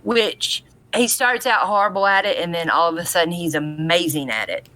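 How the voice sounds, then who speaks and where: A woman's voice: on the low side, normal speed, bright, forceful tone. A woman co-hosting a podcast, recapping a TV episode in an informal, conversational storytelling way. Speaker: podcast co-host.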